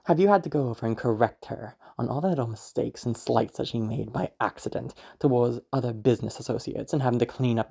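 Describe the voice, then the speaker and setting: A woman voicing a cruel, pious, domineering mother in an amateur audio roleplay, condescending and taunting, with drawn-out pauses and hums. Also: rumbling low, creaky, warm tone, breathy edge, heavily acted.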